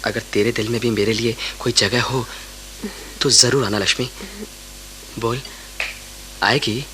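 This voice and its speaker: A man's voice: mid-range voice, steady tone, a little slow, pushed and bright, slight background noise, rough recording. An actor playing an ardent, reassuring young man from a monastery in a Hindi radio play. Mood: coaxing, tender. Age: young adult.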